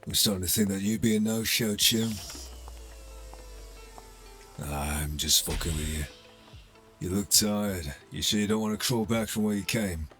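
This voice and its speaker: Man acting very low-voiced cocky cyberpunk fixer. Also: normal speed, fairly bright tone, soft background music, natural voice. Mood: teasing, cocky. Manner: casual, teasing, streetwise, swearing. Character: cocky cyberpunk fixer, a sardonic criminal middleman. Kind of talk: ASMR roleplay acting.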